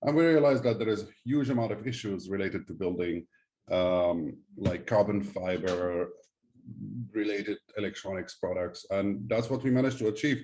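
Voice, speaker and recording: Low, mellow-voiced male founder, Syrian-born. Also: low-quality recording. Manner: halting, non-native phrasing. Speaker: startup founder, guest. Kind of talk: conversational interview.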